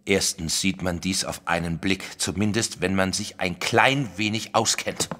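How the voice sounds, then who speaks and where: An actor playing a proud, stubborn gentleman art collector, huffy and emphatic, in a German audio drama. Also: deep voice, fairly even intonation, a little slow, long reverb tail. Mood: smug. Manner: huffy, emphatic, self-satisfied.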